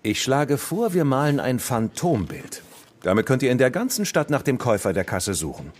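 An actor playing a genial, avuncular small-town policeman who talks to children in a measured way in an audio play. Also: mid-range voice, very animated.

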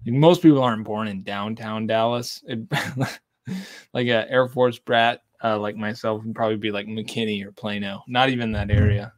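A man's voice: fairly low pitch, fairly even intonation, moderate pace, dry recording. A man in his thirties from Texas, casually chatting on a livestream from a home bar and reading out viewer comments. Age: 30s. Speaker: whiskey channel host. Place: home bar with lit shelves of bottles. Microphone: desk condenser mic.

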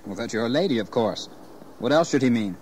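An actor playing an ambitious, proud young English gentleman settler in a historical audio drama. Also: medium pitch, animated, a little fast, close to an everyday voice. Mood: calm, dry. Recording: noisy 1980s audio drama tape.

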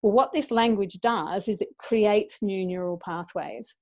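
A woman's voice: mid-range voice, measured pace. A middle-aged woman, speaking passionately as a guest over a video call from a small room. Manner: passionate, animated, gestures a lot with her hands. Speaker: guest educator. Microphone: earphone mic on a video call.

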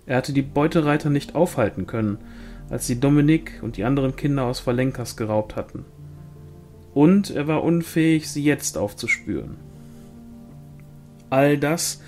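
A man's voice: medium pitch, darkish tone. Man reading a novel over music. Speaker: audiobook reader. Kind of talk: scripted narration of a fantasy novel.

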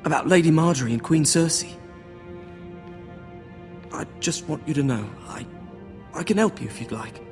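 A man's voice: on the high side, lively intonation, moderate pace, pauses often, darkish tone, soft background music, close to an everyday voice. Man acting a humble, coaxing young servant. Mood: earnest, pleading. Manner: deferential, apologetic, coaxing. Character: humble, earnest young servant offering secret help. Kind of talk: dramatic video game acting.

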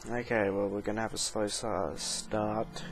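A man's voice: on the low side, flat delivery, measured pace, background noise. A man doing casual let's-play commentary as a gaming YouTuber, offhand and blunt. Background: game music.

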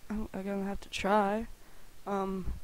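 A woman's voice: medium pitch, completely flat delivery, unhurried, slight background noise, rough recording. A young adult woman, an amateur voice actor, chatting in a casual, rambling, jokey way and swearing freely.

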